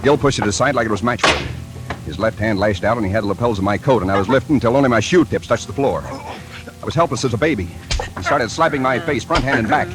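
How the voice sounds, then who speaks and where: A man's voice: lowish voice, lightly acted. An actor playing a wry, hard-boiled man in a 1940s radio thriller, dry and terse. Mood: tense narration. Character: wry hard-boiled man narrating his noir ordeal. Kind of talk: 1940s radio drama narration and acting.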